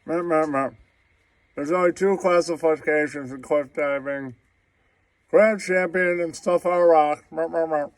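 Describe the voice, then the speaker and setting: A smug hack comedian puppet, acted by a man, repeating himself with babbled nonsense syllables. He talks slowly in a fairly high male voice. The recording is dry. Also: steady tone, dry recording, natural voice. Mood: smug babbling.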